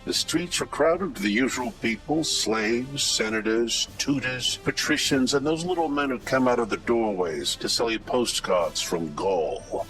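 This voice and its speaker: An actor playing a hard-boiled, wisecracking Roman private eye, wry and tough-talking, in an old-time radio detective parody. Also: male voice, mid-range voice, a little slow, a bit bright, faint music behind, lightly acted, rough recording.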